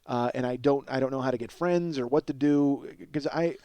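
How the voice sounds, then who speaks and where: A man's voice: mid-range voice, moderate pace, warm tone, no room sound. A middle-aged man hosting a comedy podcast in a casual, rambling monologue, swearing freely and poking fun at himself. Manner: profane, self-deprecating, exclamatory.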